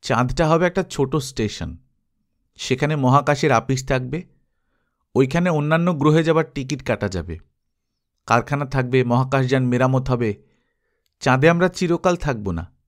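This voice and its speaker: A man's voice: mid-range voice, lively intonation, moderate pace, pauses often, close to an everyday voice. Earnest boy explainer in a storybook, voiced by a man, mid-range.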